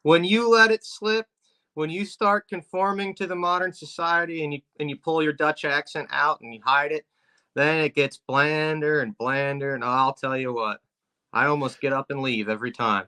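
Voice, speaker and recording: A man's voice: a little high, steady tone, moderate pace, sharp tone. A man from Pennsylvania joking in a casual chat, delivering tall tales with a straight face. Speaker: co-host.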